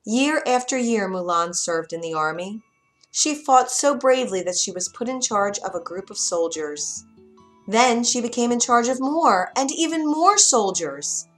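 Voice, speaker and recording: A woman narrating a children's bedtime story with a clear, expressive reading. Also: on the high side, unhurried, faint music behind.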